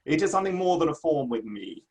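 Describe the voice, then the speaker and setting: A male actor as an indignant literary gentleman of the Victorian age, in a dramatic play reading. The voice sits on the high side and moves with great animation. The phrasing is grandiose, formal and touchy. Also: big pitch swings, fast talker. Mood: affronted. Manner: declamatory, grandiose, formal old-fashioned phrasing. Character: indignant Victorian literary man, proud, theatrical, easily affronted.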